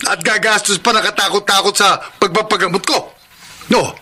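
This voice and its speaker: An actor playing Simon, a tired working father and van driver who argues with his wife and then plots in hushed tones with a friend. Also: high voice, fairly even intonation, moderate pace, husky, full character voice. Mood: defensive. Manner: tired then argumentative, later hushed and conspiratorial. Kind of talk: classic radio drama acting. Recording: noisy old radio drama recording.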